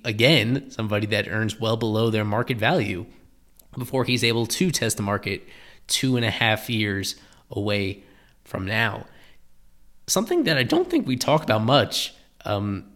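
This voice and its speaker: A man's voice: on the low side, fairly bright tone. Analytical male baseball commentator. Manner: thoughtful, restarts phrases, explanatory.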